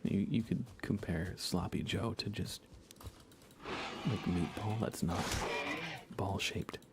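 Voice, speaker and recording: An American man speaking in soft, breathy whispers, chatting casually and unhurriedly to viewers of an ASMR livestream. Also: sits low, fairly even intonation, music in the background. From United States. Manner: hushed, unhurried, conversational.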